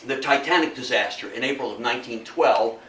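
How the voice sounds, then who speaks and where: An older man with an American accent giving an informal, deliberate history lecture and engaging his audience. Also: medium pitch, a bit bright. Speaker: guest lecturer. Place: meeting room talk. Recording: echoey room, distant mic, faint noise.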